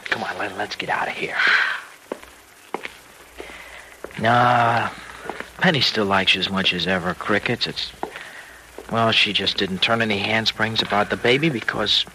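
An actor playing an awkward, kindly young husband in an old-time radio serial, apologetic and halting in a folksy way. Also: male voice, on the low side, fairly even intonation, lightly acted. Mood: downcast, consoling. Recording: noisy vintage radio drama recording.

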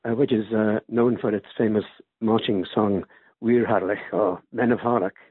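Older man from Wales, emeritus professor type, husky voice, dry tinny call line, rambling answers. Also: lowish voice, steady tone, normal speed, no room sound. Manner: rambling, with restarts. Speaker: guest author. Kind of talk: interview over a call. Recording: muffled phone-like line, dry.

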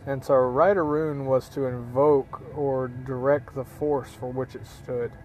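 A hoarse man giving a casual spoken lesson, halting with many fillers and clearing his throat often. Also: mid-range voice, fairly even intonation, a little mellow, slight background noise, low-quality recording. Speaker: teacher of runes.

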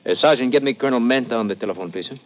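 A man playing a brisk, businesslike police commissioner in an old radio drama. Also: medium pitch, a little hiss or hum, natural voice. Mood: businesslike, inquiring. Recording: dull vintage radio recording.

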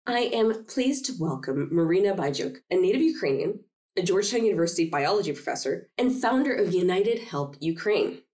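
A woman's voice: on the low side, lively intonation, brisk, a little mellow. A woman hosting a podcast interview, introducing her guest and encouraging her with frequent listening sounds. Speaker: podcast host.

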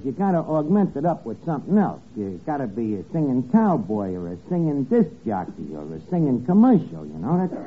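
An actor playing a bossy, slangy, scheming tavern man in old radio comedy, wisecracking and mangling his grammar. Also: on the high side. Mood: cocky, scheming. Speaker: comic lead of a radio sitcom. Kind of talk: 1940s-50s radio comedy acting. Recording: scratchy, noisy, narrow-band old radio recording.

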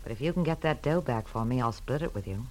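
An American actress playing a hard-edged, scheming nightclub singer in a 1940s radio noir drama, sly and slangy. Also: female voice, a bass voice, animated, fast, darkish tone, a little hiss or hum. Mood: confiding, scheming.

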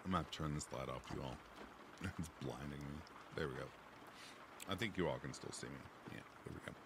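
Deep, low-voiced man chatting on stream. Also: fairly quick, background noise. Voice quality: deep, raspy. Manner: relaxed, chatty, reads chat aloud. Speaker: livestream host. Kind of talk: casual chat with live chat.

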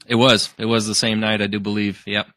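A man's voice: lowish voice, level tone, brisk, sharp tone, no room sound. A man hosting a podcast, talking casually and laughing easily, with many restarts. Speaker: podcast host. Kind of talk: casual conversation.

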